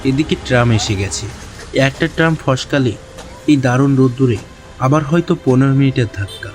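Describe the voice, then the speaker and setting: A man's voice: medium pitch, a little fast, close to an everyday voice, poor audio. A man playing a kindly young doctor who tells his own story in a reflective, literary way. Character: young, newly qualified male doctor recounting his tale, kindly. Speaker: narrator. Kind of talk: first-person scripted story narration with dialogue. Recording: clear music bed behind narration.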